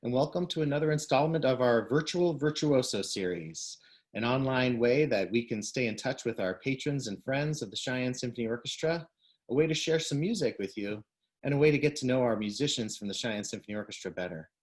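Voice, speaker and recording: A man's voice: medium pitch, brisk. A man, an orchestra's music director, hosting an online interview and praising his guest. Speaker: host, music director and conductor.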